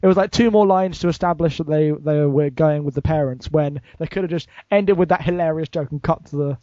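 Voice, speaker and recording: A man with a slightly high voice chats informally on a film podcast. He is opinionated and loose in his talk. The recording is dry but dull and lo-fi. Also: fairly quick, mellow, no room sound. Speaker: podcast member discussing films. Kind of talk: casual panel chat.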